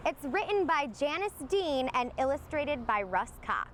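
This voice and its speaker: A woman reading a children's storybook aloud in an expressive storytelling manner. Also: high voice, measured pace, a little hiss or hum. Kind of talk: scripted storybook narration for young children.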